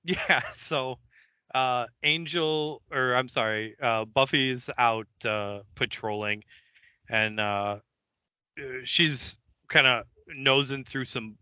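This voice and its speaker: A man's voice: medium pitch, slow pace, bright, forceful tone, clear, resonant tone, no room sound, dull audio. A man co-hosting a podcast, retelling an episode in a loose, joking way with lots of fillers. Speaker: podcast co-host. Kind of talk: casual episode recap chat.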